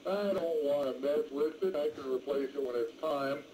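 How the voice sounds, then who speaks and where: Folksy male ham operator, slightly high-pitched, rambling casually over a noisy radio transmission. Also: moderate pace, warm tone. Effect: two-way radio transmission. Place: mobile, in a pickup truck.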